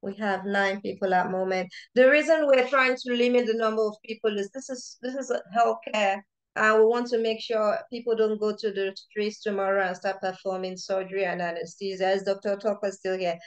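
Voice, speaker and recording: A woman hosting an online lecture over a video call, chatty and excitable, often repeating words as she starts sentences. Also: medium pitch, a little fast, poor audio. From Nigeria. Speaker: lecture chair and chief speaker.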